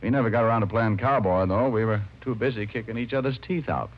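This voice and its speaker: Casting: male actor for wry hard-boiled private detective, lowish voice, old radio sound. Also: quick pace, close to an everyday voice. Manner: dry, sardonic. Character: wisecracking city private detective, dry and tough. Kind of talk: 1950s radio acting, first-person narration and dialogue. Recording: muffled vintage radio recording, music behind.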